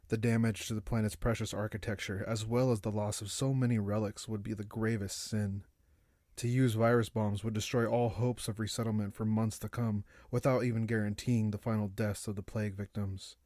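A man narrating a dark science fiction audiobook in a solemn, grave, dramatic manner. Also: on the low side, no room sound, clean studio sound. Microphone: close, dry studio-style microphone.

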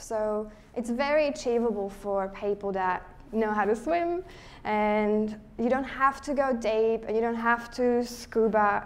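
A young woman from Slovakia with a Slovak accent, speaking as a guest presenter to a live audience in a lecture hall, friendly and relaxed, restarting sentences as she thinks. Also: mid-range voice. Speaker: guest speaker, underwater photographer. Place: lecture hall at a photo show.

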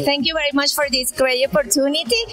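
A middle-aged woman with a Colombian accent interviewing a couple on a town plaza with a handheld mic, speaking halting English with fillers. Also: high-pitched, steady tone, a bit bright, faint music behind. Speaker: matchmaker interviewer. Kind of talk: street interview.

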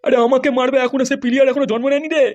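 A man's voice: very high voice, level tone, very fast, very noisy. A man voicing a furious, foul-mouthed politician husband in a comedy cartoon, scolding and accusing. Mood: angry, accusing.

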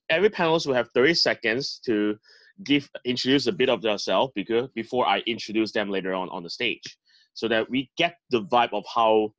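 A man emceeing an online meeting over a video call, upbeat and encouraging. His voice sits at a middle pitch. The sound is dry and close. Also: a bit bright, no echo, dull audio. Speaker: host.

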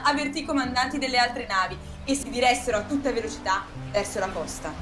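A woman with a fairly high voice narrates a story for children. She tells it expressively and with drama, as scripted storytelling, with clear music playing behind her. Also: rough recording.

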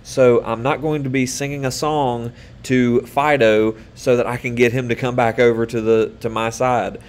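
A man in his thirties giving an online lesson to children, speaking patiently and upbeat, with many fillers and pauses, recorded on a webcam in a small room. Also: medium pitch, little pitch movement, clean tone. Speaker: teacher.

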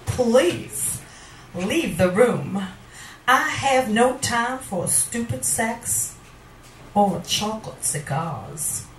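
A woman reading poetry live at a small venue, with a dramatic, deliberate delivery, recorded with a handheld microphone in a roomy space. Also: on the high side, expressive, recorded from a distance, noisy background. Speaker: poet reading at a poetry night. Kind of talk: live spoken-word poetry reading with casual asides. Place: small wood-panelled indoor bar venue. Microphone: handheld stage microphone, picked up from a camera.

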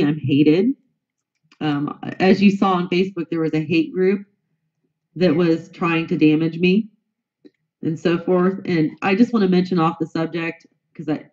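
A woman's voice: fairly low pitch, a little mellow, dull audio. A woman with a bad cold, answering interview questions as a guest in an indignant, repetitive way. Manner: indignant, repeats herself. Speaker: guest, news reporter. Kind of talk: interview answers, aggrieved complaint.

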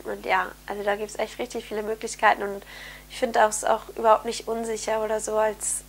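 A young woman with a cold and a nasal, slightly hoarse voice, chatting upbeat to camera in her bedroom. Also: medium pitch, monotone, brisk. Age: young adult. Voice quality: slightly hoarse, throat clearing. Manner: chatty, upbeat, many fillers. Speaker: vlogger, au pair. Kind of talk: casual chat to camera. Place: bright bedroom indoors. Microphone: camera mic at close framing.

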